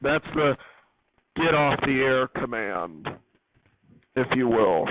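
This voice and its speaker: A man's voice: mid-range voice, lively intonation, takes it slowly. A man giving an unscripted technical walkthrough as a hobbyist host, rambling and correcting himself as he explains. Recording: noisy, tinny phone-like audio.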